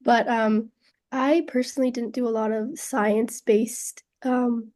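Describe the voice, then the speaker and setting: A young woman, a university student panelist, answering casually and a little hesitantly, her voice hoarse from a cold. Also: on the high side, steady tone, measured pace, darkish tone. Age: young adult. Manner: hesitant, frequent fillers and apologies.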